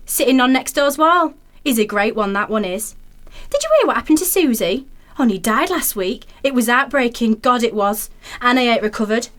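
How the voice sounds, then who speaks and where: An actress plays a chatty neighbour for a radio play. Her fairly high voice runs on in colloquial, melodramatic gossip. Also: fairly bright tone. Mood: bright, chatty.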